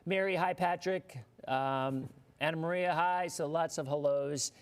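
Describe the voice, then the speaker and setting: A man's voice: on the high side, fairly even intonation, measured pace, clear, resonant tone. A man hosting a live weather show, chatting loosely with a guest and greeting viewers by name. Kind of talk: casual live TV interview chat.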